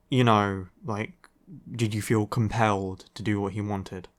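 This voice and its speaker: A man's voice: fairly low pitch, fairly even intonation, slow. A man playing a grumpy, weary young vampire in an audio roleplay, curt and sardonic with heavy sighs. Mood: weary, impatient. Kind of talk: roleplay audio acting, ASMR.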